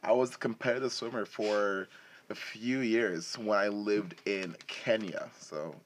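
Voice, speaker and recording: Deep-voiced young man chatting playfully. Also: fairly even intonation, a little slow. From West and East Africa, then Canada. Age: 20s. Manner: playful, bantering, slangy. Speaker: football player telling their story as a podcast guest. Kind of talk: casual chat, personal storytelling.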